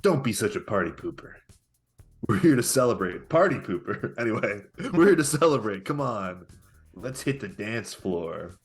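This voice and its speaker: Guy doing a smug pushy pickup coach, low voice, salesman vibe, comedy read, faint music. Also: very animated, normal speed. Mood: jovial, coaxing. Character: smug, pushy male pickup-artist guru. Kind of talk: comedic live table read.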